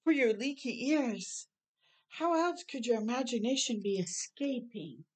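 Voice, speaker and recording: A woman's voice: a little high, expressive, a little slow. A woman voicing an imaginative, eager and slightly bossy little girl in a children's storybook, with an emphatic manner. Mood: matter-of-fact. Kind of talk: children's storybook read-aloud.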